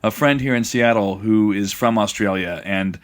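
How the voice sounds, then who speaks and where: An American man co-hosting a casual podcast, halting and self-deprecating. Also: fairly low pitch. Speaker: podcast co-host.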